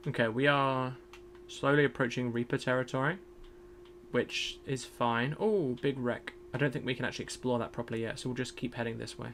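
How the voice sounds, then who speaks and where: A man streaming a game in casual commentary. He is chatty and self-deprecating, thinks aloud, and has a loud voice. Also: medium pitch, steady tone, fairly quick, slight background noise.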